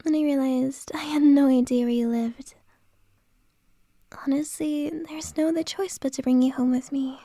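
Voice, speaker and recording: A playful, flirtatious boss lady teases softly in a breathy whisper, as a woman acts her out in ASMR. Her voice sits high but mellow, drawn out slowly. It sounds dry and close to the mic. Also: steady tone, no echo, natural voice. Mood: teasing. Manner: soft unvoiced whisper, teasing. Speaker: ASMR roleplay performer.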